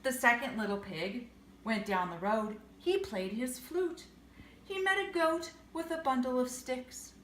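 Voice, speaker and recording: In an echoey room, a high-voiced woman reads a children's picture book aloud. She takes her time, with an expressive, sing-song delivery that swoops up and down. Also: measured pace. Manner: expressive, sing-song, with questions to young listeners. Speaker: storyteller. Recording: echoey room with faint noise.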